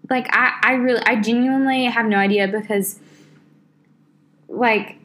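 A woman's voice: a little high, fairly even intonation, normal speed, fairly bright tone, slight background noise. Chatty woman, lots of "like". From Southern United States. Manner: rambling, full of "like" fillers. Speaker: podcast co-host. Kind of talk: casual chat.

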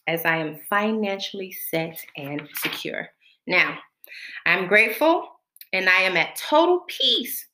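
Bright-voiced woman in an echoey room. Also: mid-range voice. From born in the Bahamas, raised in Florida. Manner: colloquial and chatty, drawn-out and deliberate when affirming. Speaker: podcast host. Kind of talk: casual monologue with spoken affirmations.

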